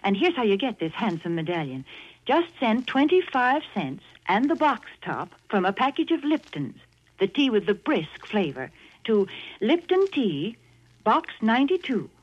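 Woman announcer, persuasive, lively pitch, dull old radio sound. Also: normal speed, husky. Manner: cheerful, persuasive. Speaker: co-host and commercial announcer. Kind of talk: 1940s radio sponsor reading. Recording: dull 1940s radio broadcast.

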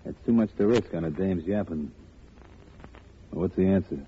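An actor playing a curt, calculating crooked gambler in a 1940s radio crime drama, talking in tough slang. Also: male voice, deep voice, normal speed, close to an everyday voice. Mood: scheming. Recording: muffled, noisy old radio recording.

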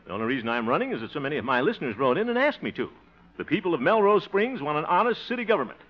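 A man's voice: a little high, big pitch swings, rapid-fire. An actor playing a good-natured, bashful man running for mayor in a 1950s radio comedy, blustery, flustered and given to chuckling. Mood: pompous oratory. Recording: muffled 1950 radio recording, noisy, audience.

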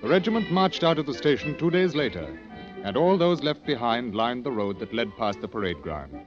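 An actor playing a war correspondent who narrates an army adventure in an old radio drama. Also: male voice, medium pitch, very animated, brisk, close to an everyday voice. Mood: measured storytelling. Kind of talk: scripted 1940s-50s radio drama narration. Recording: dull old radio recording. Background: music.

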